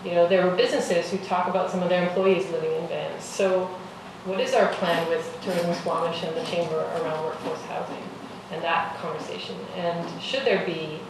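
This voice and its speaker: A woman chairing a council meeting, speaking in a measured, reassuring way with some ums. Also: lowish voice, fairly even intonation. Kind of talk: formal meeting chair, casual phrasing. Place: council chamber. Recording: echoey council chamber, far mic, noisy.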